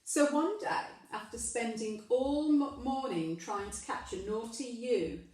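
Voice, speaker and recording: A woman reads a children's story slowly, in a fairly high voice. Her delivery is emphatic and aimed at young listeners. The room is very echoey and she sounds a bit away from the microphone. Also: a little mellow, recorded from a distance. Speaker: primary school storyteller. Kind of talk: children's story read aloud, with questions to listeners.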